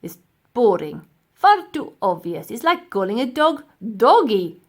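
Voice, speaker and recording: A woman's voice: fairly high pitch, very animated, a little slow. A woman voicing a pompous, boastful old male professor in a children's storybook, scornful and grandly emphatic. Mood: indignant.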